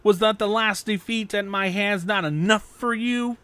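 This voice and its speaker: Man doing a taunting, bright-voiced arrogant villain. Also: high voice, smooth, dry recording, pitched above the performer's own voice. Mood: mocking. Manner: taunting, drawn-out words. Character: mocking, arrogant villain swordsman.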